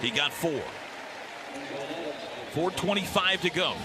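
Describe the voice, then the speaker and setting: A man's voice: a little high, expressive, slow, loud music behind the voice, a loud crowd. A man calling live football play-by-play on the radio in short, clipped phrases. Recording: very noisy stadium broadcast. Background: loud stadium ambience.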